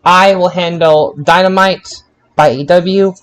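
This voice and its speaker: A man hosting a podcast roundup, halting and often restarting his phrases. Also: sits high, steady tone, a bit bright, clean tone. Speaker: podcast host.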